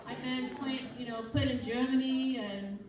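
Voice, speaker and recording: A woman's voice: sits high, fairly even intonation, moderate pace. A woman teaching a class, playfully teasing her students as they guess where she is from. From Burbank, California. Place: large classroom or hall. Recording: echoey room, distant mic, chatter.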